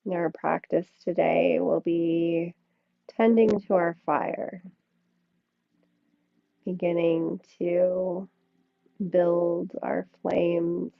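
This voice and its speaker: A woman leading a yoga class with slow, soothing guided instruction, speaking in short phrases with long pauses. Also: fairly low pitch, level tone, vocal fry, no echo. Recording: thin, phone-like band-limited sound, dry.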